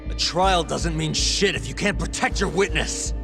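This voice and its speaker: A man's voice: a little high, moderate pace, creaky, over music. A man acting a tough, resolute investigator in a video game drama, speaking bluntly and with self-assurance. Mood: determined, urgent. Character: tough, resolute male investigator, cool and protective.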